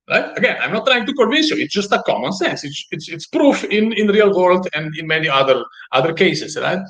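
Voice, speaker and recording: High-pitched man with a Slovenian accent. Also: rough recording. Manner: halting, repeats words for emphasis. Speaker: guest, business founder. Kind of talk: explanatory business talk.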